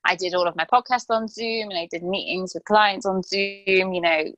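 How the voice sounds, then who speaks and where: A woman's voice: medium pitch, fairly even intonation, moderate pace, a bit bright, no room sound, low-quality recording. A woman hosting a casual interview, chatty and encouraging with her guest. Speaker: podcast host interviewing a guest.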